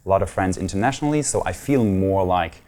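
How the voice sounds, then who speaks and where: A man's voice: low voice, lively intonation, quick pace, dark tone. A man in his thirties from Germany with a German accent, talking earnestly as an interview guest with some hesitant fillers. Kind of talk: casual interview talk.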